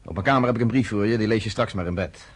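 A man's voice: on the low side, rapid-fire, breathy edge. A man in his thirties playing a blunt, commanding underground leader in a radio play. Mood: bitter. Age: 30s.

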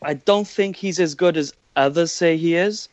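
A man with a Welsh accent, a guest scout on a sports podcast, giving his measured views with hesitant restarts. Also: on the high side, dry recording. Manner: measured, hesitant, starts over. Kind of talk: casual podcast chat. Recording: dry, dull lo-fi sound.

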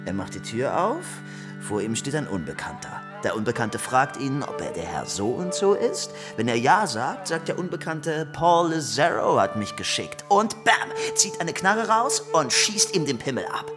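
A man's voice: medium pitch, big pitch swings, normal speed, over music, lightly acted. An actor playing a small, vengeful, foul-mouthed soldier in a radio play, crude and boastful in his threats. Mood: gloating menace.

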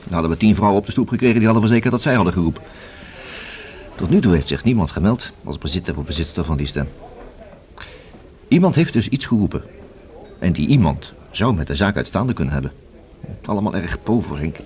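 An actor playing a dry, deliberate, skeptical police detective in a Dutch radio play. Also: male voice, low voice, animated, a little mellow. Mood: dry, pondering. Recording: noisy old radio play recording.

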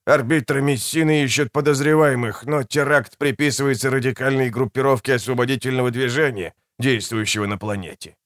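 A man's voice: mid-range voice, fairly even intonation, fairly quick, hardly any pauses, no room sound, studio quality, natural voice. Anxious, muttering man acted by a man. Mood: grave, muttering. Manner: muttering, grave, urgent. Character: anxious male companion bringing grave news, preoccupied. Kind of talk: audiobook character dialogue.

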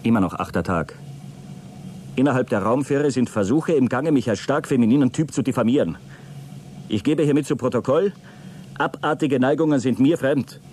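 A man's voice: mid-range voice, normal speed, a little mellow, a little hiss or hum, lightly acted. A man playing a prim, defensive astronaut with a stilted, pompous manner in a satirical science fiction radio drama. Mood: stiff, official. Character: prim, defensive male astronaut keeping a formal log.

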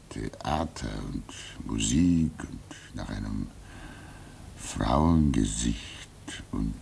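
A man acting in a German radio play as a gentle, resigned old hermit, fatherly and reflective, with halting speech that swings between emphasis and hush. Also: very deep voice, vocal fry, a little hiss or hum, heavily acted. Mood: wistful. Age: older.

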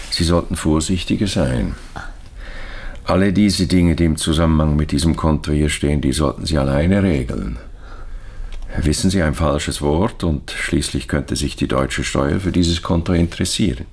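An actor playing a courteous, discreet, middle-aged bank adviser in a radio crime drama, speaking formally and obligingly. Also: male voice, rumbling low, a little slow, a little hiss or hum. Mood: discreet, confidential. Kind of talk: German radio drama acting.

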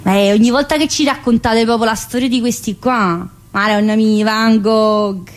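An actress playing an earthy, wisecracking woman in prison, chatty and crude and swinging from loud outbursts to low muttering. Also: steady tone, moderate pace, natural voice. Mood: gossipy. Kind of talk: stage monologue.

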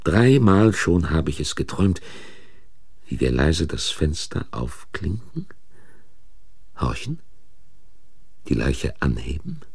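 Radio crime narrator, intense brooding confessor: husky, swinging widely in pitch, deliberate, recorded dry. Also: male voice, big pitch swings, no echo, heavily acted. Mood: haunted, tense. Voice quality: gravelly, husky. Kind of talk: dramatic first-person narration in a radio crime play.

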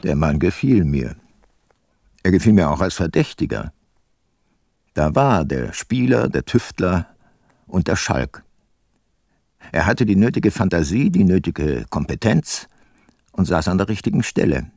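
An actor playing a shrewd, self-assured older private detective in a radio play, speaking in a measured, dry and probing manner. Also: male voice, deep voice, animated, vocal fry, darkish tone, no echo, full character voice. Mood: reflective, dry. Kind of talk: radio play narration and dialogue.

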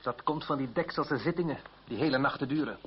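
Husky, wry French revolutionary deputy. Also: male voice, medium pitch, brisk. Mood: wry, indignant. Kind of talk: radio play acting. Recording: dull, husky old radio play recording.

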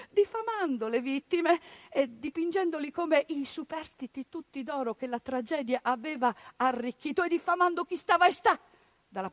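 Impassioned high-voiced woman in a monologue. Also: no room sound. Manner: impassioned, with sudden outbursts and hushed asides. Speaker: stage actress. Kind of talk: dramatic theatrical monologue.

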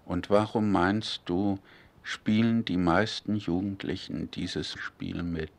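A male interviewer speaks in a low register at a slow pace. His phrasing is measured and explanatory as he sets out a point and leads into a question. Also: fairly even intonation, gravelly.

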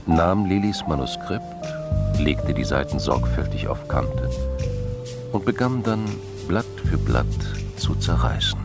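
A man narrating a radio drama in a measured storytelling manner, as a scripted literary reading. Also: a bass voice, lively intonation, creaky. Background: loud music.

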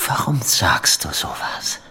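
A man's voice: takes it slowly, bright, forceful tone, in a whisper. An actor in a German radio drama playing an obsessive, lovesick man who is guarded, bitter and easily angered, with an intense, brooding manner. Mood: bitter, muttering.

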